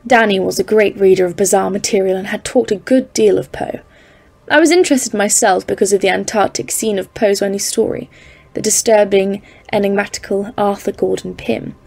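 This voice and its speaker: A woman's voice: mid-range voice, fairly even intonation, a little fast, creaky, darkish tone, breathy edge. A woman playing a composed expedition leader, narrating a polar journal in a scripted audio drama. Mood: measured recounting. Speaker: narrator and lead character.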